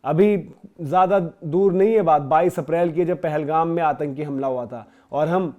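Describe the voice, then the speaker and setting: A man's voice: on the high side, normal speed, dull audio. A man presents opinionated sports news commentary, speaking to viewers in an emphatic, conversational way with rhetorical questions.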